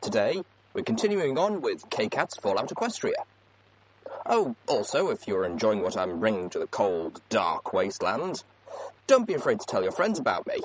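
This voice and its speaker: Animated male show host, theatrical delivery. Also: fairly low pitch, normal speed, slight background noise, rough recording. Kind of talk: upbeat scripted show intro.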